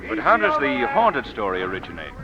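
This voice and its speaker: An actor playing a composed, inquisitive gentleman sleuth in an old radio drama, polished and questioning. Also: lowish voice, wildly swooping pitch, a little fast, a loud crowd. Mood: skeptical, calm. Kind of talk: 1940s radio drama acting. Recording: muffled old radio recording.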